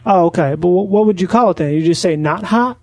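High-pitched man, naive rambling caller. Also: darkish tone, dry recording. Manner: naive, rambling, slow on the uptake. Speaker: prank caller on a comedy podcast. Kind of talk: deadpan prank phone call.

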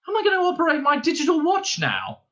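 A man's voice: high-pitched, big pitch swings, normal speed, pushed and bright, voice pushed up, full character voice. A man voicing a bewildered, polite Englishman in a storybook, fretful and easily panicked, with an anxious, querulous delivery. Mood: distressed.

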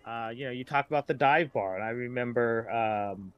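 A middle-aged man talking casually as a podcast guest, a writer who haltingly searches for examples as he goes. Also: mid-range voice, clean tone, lo-fi sound.

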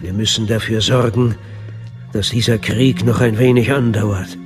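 An actor playing a calculating, commanding Sith master in a radio drama, speaking in a deliberate, imperious way. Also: male voice, lowish voice, steady tone, close to an everyday voice. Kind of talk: German science-fiction radio drama acting. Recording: dramatic music under the voice.

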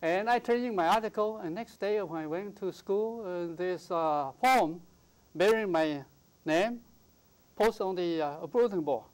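Older man, Mandarin native, fairly high pitch, halting with fillers, lapel mic, studio interview. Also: low-quality recording. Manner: halting, many fillers and restarts. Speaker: poet guest. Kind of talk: interview conversation. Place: TV studio, seated.

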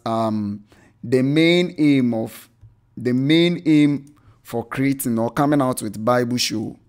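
A man's voice: mid-range voice, a little mellow. A man giving an unscripted screen-share tutorial, often correcting himself with "I mean" and "um" as he explains. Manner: rambling, self-correcting with frequent "I mean" and "um". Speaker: tech channel host reviewing church software.

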